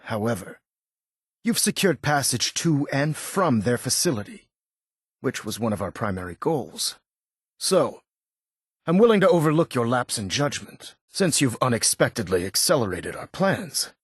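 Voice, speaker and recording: Game role: commanding military man, formal and zealous, slightly rough husky voice, dry studio sound. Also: medium pitch, big pitch swings, no echo. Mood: stern, displeased. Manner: formal, authoritative, measured. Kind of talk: video game dialogue acting.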